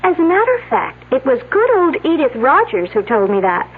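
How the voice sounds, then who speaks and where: A tinny old radio recording carries a young woman student in a mystery drama. Her voice is fairly high and husky, with lively swings, blunt and catty in manner. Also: fairly quick, full character voice. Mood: frank, catty. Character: frank, catty young female college student. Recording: tinny phone-like vintage radio broadcast.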